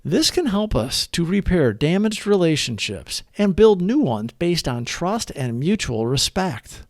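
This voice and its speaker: A man narrates scripted devotional readings in an earnest, deliberate way. His voice sits a little higher than average and moves widely up and down in pitch. The sound is very dry, with no room around it. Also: big pitch swings, no room sound. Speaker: narrator of recovery meditation readings. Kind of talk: scripted devotional read-aloud.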